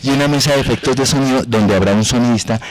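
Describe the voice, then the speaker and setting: A man with a Colombian accent speaking as a radio guest, explaining deliberately and emphatically with rhetorical questions. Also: mid-range voice, slight background noise, rough recording. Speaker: guest, radio and theatre actor. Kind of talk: radio interview, animated explaining.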